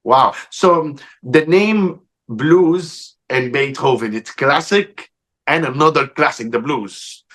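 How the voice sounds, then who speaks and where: A man with an Egyptian accent interviewing a musician, enthusiastic and halting in his English. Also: mid-range voice, fairly bright tone. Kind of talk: casual interview.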